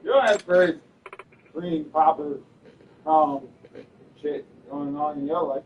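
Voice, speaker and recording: A man's voice: fairly high pitch, slow pace, distant mic, lo-fi sound, slight background noise. Joking young man, a little off-mic. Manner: loud, joking, profane banter. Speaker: friend watching the game. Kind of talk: casual chat.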